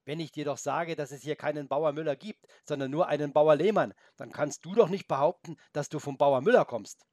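A man's voice: fairly high pitch, brisk, a little mellow, dry recording, studio quality, close to an everyday voice. A man voicing an earnest, insistent young boy in a children's story, emphatic and easily exasperated as he corrects others.

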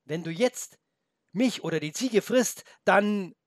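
A man voicing a brave young boy in a storybook, speaking high, urgent and pleading. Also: animated, slow pace, no room sound, studio quality. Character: brave young boy, frightened but clever. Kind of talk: storybook reading.

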